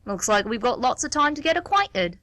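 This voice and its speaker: Actress as nosy middle-aged mother at the airport: bright, swooping delivery, cheeky, rambling, quick to take offence, radio play. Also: on the high side, sing-song, no room sound, studio quality. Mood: breezy, cheeky. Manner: rambling, cheeky, easily affronted. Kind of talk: amateur radio play acting.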